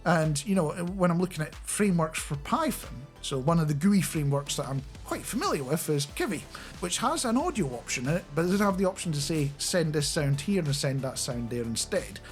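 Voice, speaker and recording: Man chatting, lively intonation. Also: a little high, fairly quick, faint music behind. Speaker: radio producer and software developer presenting a video. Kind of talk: casual explainer to camera. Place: small home studio indoors. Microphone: close studio microphone on a boom arm.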